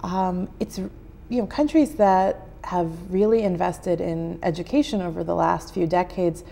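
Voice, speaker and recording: Low, gravelly-voiced American woman journalist. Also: steady tone, dark tone, slight background noise. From United States. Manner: thoughtful, many "um" pauses, gestures while talking. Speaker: journalist guest. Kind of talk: studio interview answers. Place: TV studio set. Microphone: lapel.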